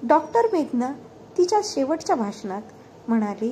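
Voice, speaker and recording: A woman storyteller reads a scripted tale. Her voice sits fairly high and swings widely in pitch, with a soft, mellow tone. The delivery is dramatic and expressive, with faint music underneath. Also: moderate pace, a little hiss or hum. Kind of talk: scripted narration of a moral story.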